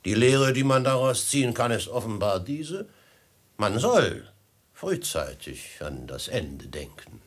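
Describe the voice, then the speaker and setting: Radio-play villain: husky, falsely courteous bandit host, theatrical and unctuous, with lively melodic delivery. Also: male voice, on the low side, measured pace, heavily acted. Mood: sententious, measured. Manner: unctuous, flowery, mock-friendly, theatrical. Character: smooth, menacing desert robber chief, falsely courteous host. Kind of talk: 1950s German radio drama acting.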